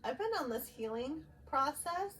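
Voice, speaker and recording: A woman's voice: high-pitched, normal speed, recorded from a distance. A woman in her thirties co-hosting a podcast, sharing personal stories in a candid, conversational way. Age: 30s. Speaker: podcast co-host. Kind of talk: casual personal storytelling. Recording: faint steady background noise.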